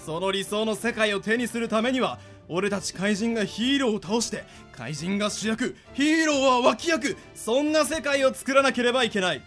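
A man's voice: high voice, lively intonation, normal speed, few pauses, a bit bright, faint music behind. A man playing an ambitious, theatrical monster boss in a radio drama, rousing his followers like a speechmaker. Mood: rallying. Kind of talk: anime-like radio drama acting.

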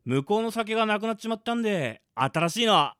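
A man playing a scheming, folksy ghost with rough, wheedling speech in a radio drama. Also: high-pitched, lively intonation, a little fast, fairly bright tone, no room sound, clean studio sound. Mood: jovial. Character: scheming rough male ghost, folksy drinker, falsely friendly. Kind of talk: amateur radio drama acting.

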